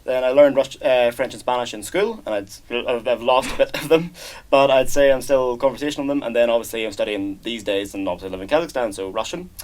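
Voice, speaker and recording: A young man with a Northern Irish accent, an exchange student answering interview questions in a relaxed, hesitant way with many fillers and restarts. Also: medium pitch, quick pace, few pauses. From Northern Ireland. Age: young adult. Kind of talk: casual interview chat.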